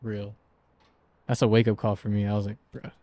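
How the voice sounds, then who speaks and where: A man's voice: fairly low pitch, little pitch movement, a little fast, warm tone. Man, twenties, casual podcast talk, slangy, laughs a lot. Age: 20s. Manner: laid-back, slangy, laughs often. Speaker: podcast co-host. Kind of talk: casual chat.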